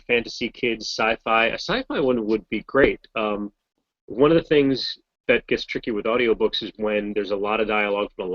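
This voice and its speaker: A man with a fairly low voice, chatting loosely and poking fun at himself as he answers fan questions. The sound is dry and dull, like audio from an online video call. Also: no room sound. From Massachusetts, US. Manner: chatty, rambling, self-deprecating. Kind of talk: casual Q&A chat.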